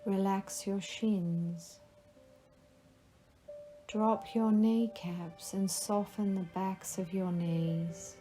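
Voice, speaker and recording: A woman guiding a relaxation meditation, giving slow, soothing instructions. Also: mid-range voice, fairly even intonation, mellow, poor audio. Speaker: meditation guide. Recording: faint music behind the voice.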